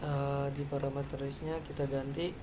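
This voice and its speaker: Seorang pria muda berlogat Indonesia memperagakan perangkat lunak langkah demi langkah sebagai mahasiswa presenter, dengan bicara ragu-ragu. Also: male voice, mid-range voice, flat delivery, moderate pace. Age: young adult. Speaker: student presenter. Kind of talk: step-by-step software demonstration. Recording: clearly noisy background.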